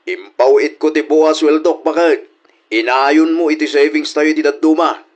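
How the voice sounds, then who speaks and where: A man's voice: on the high side, steady tone, normal speed, natural voice. A man with an Ilocano accent playing a good-natured, patient husband and dutiful son in an audio comedy drama.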